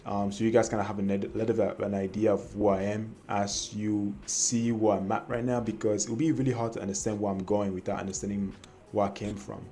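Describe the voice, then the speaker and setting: Soft-spoken man with a mellow voice. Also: on the low side, level tone, a little fast, a little hiss or hum. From Cameroon. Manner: hesitant, many fillers and restarts. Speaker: trading vlogger. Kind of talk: casual personal storytelling to camera.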